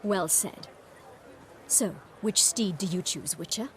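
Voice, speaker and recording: An actress playing a genteel, self-assured noblewoman in a video game, with formal, measured phrasing. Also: mid-range voice, slight background noise, lightly acted. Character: poised, genteel noblewoman, keen on horse racing. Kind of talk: video game character acting.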